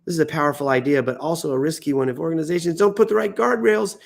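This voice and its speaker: A man's voice: fairly high pitch, steady tone, fast, creaky. Theatrical American man riffing through the news. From United States. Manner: theatrical, swoops into exaggerated emphasis and self-mocking asides. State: sick and tiring, coughing now and then. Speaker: livestream host reading news posts. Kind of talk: off-the-cuff livestream reading with jokey asides. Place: indoors.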